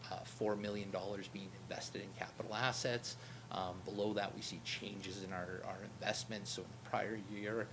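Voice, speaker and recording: Low-voiced guy walking a council through finances, kinda droning, loud rumble behind him. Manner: steady, explanatory, calls own voice droning. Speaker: auditor presenting financial statements. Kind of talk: presenting to a town council. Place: council meeting room. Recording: meeting recording with loud background rumble.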